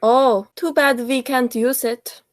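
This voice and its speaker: A woman's voice: high voice, fairly even intonation, takes it slowly, dry recording, clean studio sound. A young woman in an amateur radio play, playing a timid, dreamy male doctor and criminal sidekick who drawls wistfully. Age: young adult.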